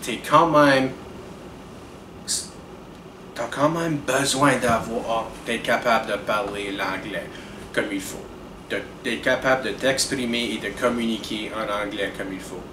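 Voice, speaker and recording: A young man, talking earnestly to camera in a measured, deliberate way with frequent restarts. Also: mid-range voice, fairly even intonation. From Canada. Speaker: video blogger. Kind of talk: direct-to-camera commentary. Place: indoors in front of a dark curtain. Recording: roomy, distant with air-conditioning hum.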